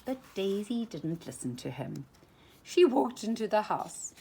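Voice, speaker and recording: Slow, expressive storytime woman. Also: medium pitch, gravelly, noisy background. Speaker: storytime reader. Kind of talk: reading a children's storybook aloud.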